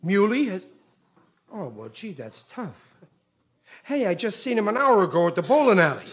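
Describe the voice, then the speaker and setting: An actor playing a blundering, soft-hearted middle-aged American husband and father in an old radio comedy, folksy and blustering. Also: high voice, big pitch swings, normal speed. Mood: indignant. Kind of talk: 1940s radio comedy acting. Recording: noisy old radio broadcast.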